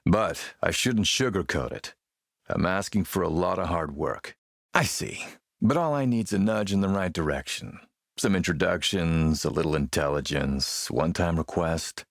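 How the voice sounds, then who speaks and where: Shrewd militia boss, very low gravelly voice, menacing, wry, self-assured, video game dialogue, close dry sound. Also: male voice, measured pace, no echo, full character voice. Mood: persuasive. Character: shrewd, menacing male militia boss, smooth-talking dealmaker.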